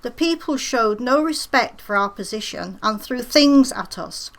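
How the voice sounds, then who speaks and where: Casting: actress playing pompous Spanish monarch, high regal voice, reading decrees in radio comedy. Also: female voice, animated, measured pace. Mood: disdainful, pompous. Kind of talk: comic radio play acting.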